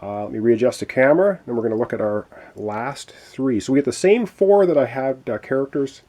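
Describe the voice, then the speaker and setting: A man's voice: medium pitch, expressive, a little fast, warm tone. A man explaining a board game playthrough in a chatty, explanatory way, with many fillers, while losing his voice. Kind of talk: casual tabletop game walkthrough.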